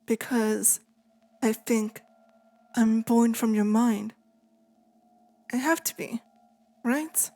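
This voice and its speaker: Girl doing a clingy lonely sleep paralysis demon, asmr style, slow n hesitant, kinda high voice, soft music behind. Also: steady tone. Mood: wistful, uneasy. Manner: slow, hesitant, tender, softly pleading. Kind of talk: intimate ASMR roleplay monologue.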